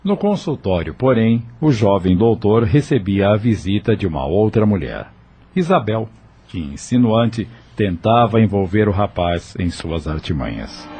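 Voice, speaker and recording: Mellow, expressive male story narrator. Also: on the low side, unhurried, slight background noise. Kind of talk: dramatic scripted narration of an audio novel.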